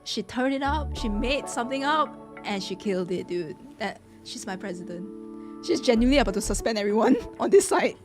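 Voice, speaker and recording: A young woman from Malaysia chatting casually as she reacts to a game, expressive and prone to repeating phrases. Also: on the high side, brisk. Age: young adult. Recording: game music behind the voice.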